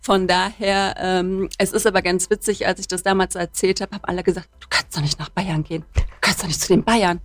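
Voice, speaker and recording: A middle-aged woman from Cologne, a managing director, talking chattily in a radio interview with many fillers and warm laughs. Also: lowish voice, fairly even intonation, fairly quick, no room sound. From Cologne, Germany.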